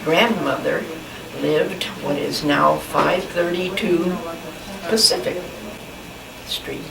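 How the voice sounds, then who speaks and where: Slow, gravelly elderly woman. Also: fairly low pitch, crowd noise. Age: 80s. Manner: slow, hesitant, with small laughs and restarts. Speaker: interviewee. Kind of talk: oral history interview. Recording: distant mic in a roomy space with loud background noise.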